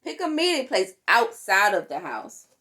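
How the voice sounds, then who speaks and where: A woman reading a children's safety book aloud in an animated, instructive manner. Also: medium pitch, moderate pace. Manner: animated, instructive, acts out sound words. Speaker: storytime reader.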